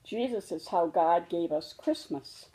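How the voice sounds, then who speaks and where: Woman playing mother bear, gentle, patient, unhurried, mellow, reassuring, storybook tale. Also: lowish voice, expressive, a little hiss or hum, poor audio. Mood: awed, tender. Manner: measured, reassuring explaining. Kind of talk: children's storybook read-aloud.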